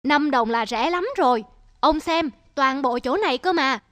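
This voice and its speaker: A woman voicing a clever young male woodcutter in an animated folk tale, steady and patiently firm. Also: high voice, normal speed, no echo.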